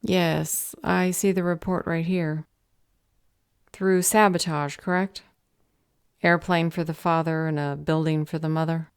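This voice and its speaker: Low-voiced, officious woman as a government clerk. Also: steady tone, measured pace, clean tone, no echo. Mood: businesslike. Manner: officious, matter-of-fact, dry. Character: brisk, unflappable female magical government clerk. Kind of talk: audio roleplay acting.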